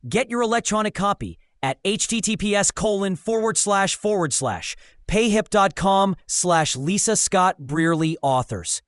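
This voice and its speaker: A man with a high voice reads a scripted promo at a brisk, selling pace. The sound is dry and close, with no room around it. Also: lively intonation, a bit bright, no room sound. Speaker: announcer. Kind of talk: scripted ad read.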